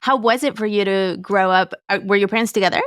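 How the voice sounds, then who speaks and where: A woman's voice: medium pitch, fast talker, a bit bright, dry recording, clean studio sound. A woman hosting an interview podcast, speaking English with some Spanish phrases mixed in. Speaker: podcast host.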